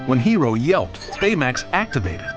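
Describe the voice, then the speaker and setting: A man narrates a children's storybook with animated, swooping intonation at a middle pitch. Music plays clearly behind him as he reads the scripted text. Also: moderate pace, dull audio. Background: background music.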